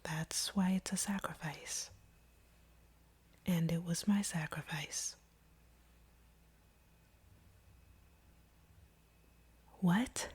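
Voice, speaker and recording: Casting: actress as a conflicted vampire woman for intimate audio roleplay; very breathy whisper, lowish pitch, earnest and deliberate. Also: steady tone, a little mellow, exaggerated voice. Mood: pained, insistent. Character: conflicted female vampire confessing feelings to her hunter.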